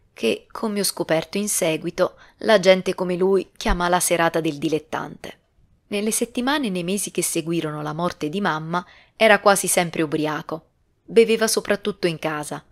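A woman reading a novel aloud as scripted audiobook narration. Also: on the low side. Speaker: audiobook reader.

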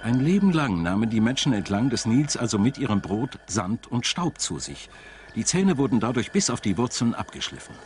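Lively-pitched male German documentary narrator. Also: moderate pace, background noise. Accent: standard German. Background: background music.

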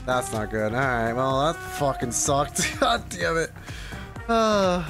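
A man's voice: mid-range voice, lively intonation, a little slow, over music. A young adult man reacting casually to gameplay as a streamer, muttering to himself and swearing.

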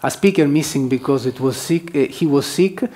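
A man's voice: on the high side, moderate pace, dark tone. Hesitant man presenting at a conference. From southern Switzerland. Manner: hesitant, many uh fillers and restarts. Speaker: conference organizer. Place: conference hall.

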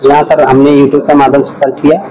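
A man's voice: mid-range voice, little pitch movement, fairly quick, lo-fi sound, over a noisy crowd. A young male student gives a short, polite introduction into a handheld mic. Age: young adult. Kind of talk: brief self-introduction. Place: crowded classroom, indoors. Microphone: handheld mic held by the interviewer. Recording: noisy classroom.

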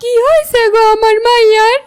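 Cartoon role: poor village mother played by a woman, tearful, rural Bangladeshi accent, extremely high pitch. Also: moderate pace. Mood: distraught. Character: poor village mother crying over her daughter.

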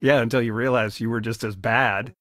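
Middle-aged father, played by a man: gentle, regretful, conversational, mid-range voice, close dry game recording. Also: mid-range voice, fairly even intonation, a little fast, dry recording, close to an everyday voice. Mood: tender, wry. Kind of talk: video game voice acting.

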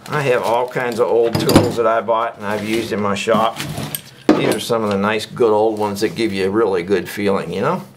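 A man's voice: fairly low pitch, fairly even intonation, moderate pace, heavy background noise. An older man giving a casual hands-on woodworking demonstration, chatty and folksy, often tossing out rhetorical questions. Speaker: woodworking instructor. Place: indoors at a workbench.

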